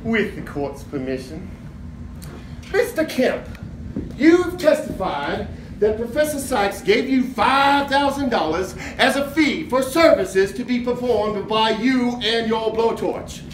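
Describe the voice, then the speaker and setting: Very high-voiced, bombastic prosecutor. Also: male voice, expressive, heavily acted. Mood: accusing, bombastic. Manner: theatrical, sneering, drawn-out oratory. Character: sly, bombastic, accusing male courtroom prosecutor. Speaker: actor in a stage play. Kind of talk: stage adaptation of a 1950s radio drama. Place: live theatre stage. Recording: echoey theatre with background noise.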